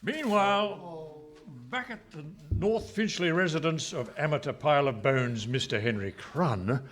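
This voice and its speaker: A man's voice: fairly high pitch, unhurried, a bit bright. A man playing a mock-grave announcer, reading comic narration in a radio play. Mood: mock solemn.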